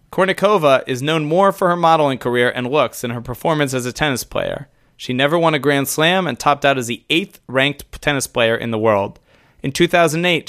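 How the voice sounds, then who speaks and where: A man's voice: medium pitch, fairly bright tone. A man co-hosting a casual podcast who rambles through lists, laughs often and swears now and then. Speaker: podcast co-host.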